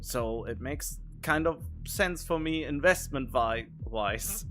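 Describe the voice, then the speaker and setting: A man's voice: medium pitch, animated, takes it slowly, sharp tone, faint music behind. A man streaming a video game, thinking aloud in casual commentary with rambling, wondering questions.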